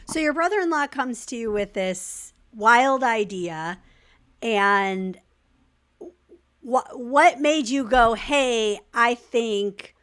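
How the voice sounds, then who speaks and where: A woman hosting an interview podcast as a coach, asking questions with quick, enthusiastic energy. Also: on the high side, expressive, a bit bright. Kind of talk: conversational interview.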